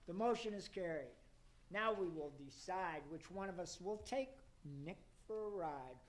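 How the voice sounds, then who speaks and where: Guy acting a pompous devil boss running a meeting, kinda high voice, theatrical radio play. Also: animated, moderate pace. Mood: pompous, presiding. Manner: pompous, formal, theatrical rhymed delivery.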